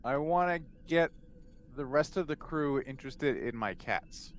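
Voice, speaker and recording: Dude playing a tabletop game, slow hesitant talk, kinda joking, bit of noise. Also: male voice, medium pitch, lively intonation. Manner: hesitant, joking, thinking aloud. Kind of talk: casual improvised game chat.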